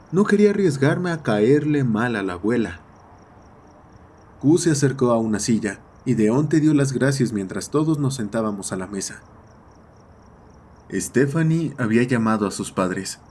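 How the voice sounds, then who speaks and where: A man's voice: mid-range voice, expressive, moderate pace, a little mellow, a little hiss or hum. A man reading a scripted horror story as its narrator.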